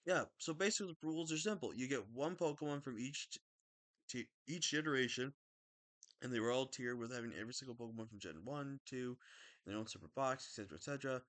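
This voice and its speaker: A young man talking casually about his game team, rambling and correcting himself, with a slightly raspy throat. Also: mid-range voice, no echo. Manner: rambling, self-correcting, excitable. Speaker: draft league player. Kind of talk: casual video commentary.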